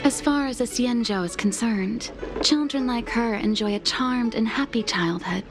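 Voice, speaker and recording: A woman's voice: on the high side, moderate pace, lightly acted. A woman voicing a devoted young maid who speaks formally and courteously, with an earnest tone, in video game dialogue. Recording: game audio with music underneath. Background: background music.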